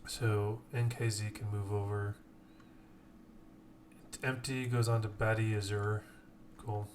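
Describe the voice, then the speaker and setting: A man's voice: lowish voice, flat delivery, takes it slowly. A man casting an esports tournament on a live stream, chatting casually and apologizing a lot. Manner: chatty, self-deprecating, apologetic. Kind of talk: casual late-night live stream chat. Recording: keyboard typing noise behind voice. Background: clear keyboard typing.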